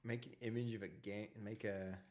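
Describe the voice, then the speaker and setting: A man hosting a casual live stream, talking with a big, loud voice and rambling, repeating himself. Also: fairly low pitch, fairly even intonation, normal speed, slight background noise.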